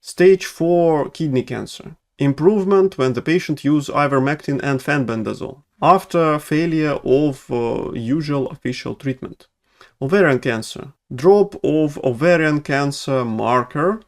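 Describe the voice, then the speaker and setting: A man's voice: fairly high pitch, measured pace. A man who is a doctor, speaking English as a second language with a non-native accent, explaining medical treatments in a hesitant way with many fillers and restarts. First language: not English. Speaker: oncologist.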